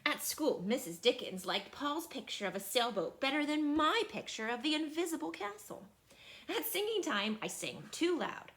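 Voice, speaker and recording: Woman storybook reader, high voice, very lively intonation, dramatic emphasis for young listeners. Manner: expressive, dramatic emphasis with drawn-out phrases. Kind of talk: children's picture book read-aloud.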